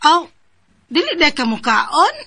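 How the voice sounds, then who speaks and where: A fussy old grandmother in a Philippine radio drama. Her voice is high and bright, with a Filipino accent. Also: sing-song, unhurried, heavily acted.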